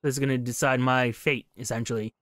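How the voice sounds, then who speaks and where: A man comments casually on a game as he plays, musing aloud with wry asides. His voice sits at a medium pitch, recorded close and dry. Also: fairly even intonation, fast talker, fairly bright tone, no room sound. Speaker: gaming video host. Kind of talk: casual game playthrough commentary, reading on-screen text aloud. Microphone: close microphone, voice-over.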